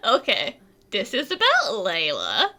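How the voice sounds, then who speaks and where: A woman's voice: high voice, sharp tone, slightly rough, exaggerated voice. A woman voicing a playful, caring young female companion in a casual style.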